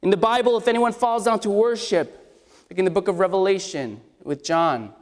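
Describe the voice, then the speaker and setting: A man's voice: sits high, brisk. A man preaching an expository sermon with a scratchy voice, talking conversationally and asking his listeners questions. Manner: conversational, asks the congregation questions. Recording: long hall reverb.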